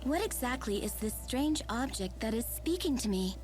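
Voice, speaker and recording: A woman's voice: a little high, expressive, normal speed, over music, close to an everyday voice. A woman voicing a haughty, airy female great spirit and protective big sister in a dubbed game cutscene, speaking in a languid, lofty way.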